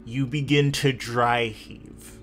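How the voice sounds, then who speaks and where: A man narrating a tabletop roleplaying game as game master, improvising dramatically with deliberate pauses. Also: mid-range voice, fairly even intonation, fairly bright tone, a little hiss or hum.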